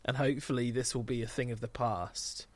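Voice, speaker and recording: A man's voice: mid-range voice, fairly even intonation, a little fast. A man co-hosting a casual podcast, dry and teasing with his friend. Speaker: podcast co-host.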